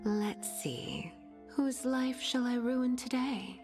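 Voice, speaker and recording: A woman voicing a seductive, sadistic female demon from a video game, mocking and sultry, with a breathy, whispery, teasing delivery. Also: fairly high pitch, a little mellow. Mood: cruel, mocking. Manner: slow, purring, teasing delivery. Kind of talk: video game character voice lines. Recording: music behind the voice.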